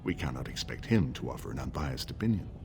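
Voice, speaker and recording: An actor voicing a scheming, haughty dwarf man in a video game, smooth and condescending. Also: extremely low pitch, big pitch swings, fast talker, music in the background, heavily acted. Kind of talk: video game dialogue acting.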